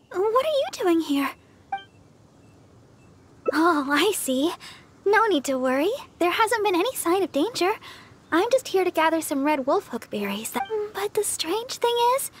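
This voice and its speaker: A woman voicing a gentle, polite, timid teenage girl healer in an anime-style video game, hesitant and sweet. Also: very high-pitched, a little hiss or hum, heavily acted. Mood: surprised.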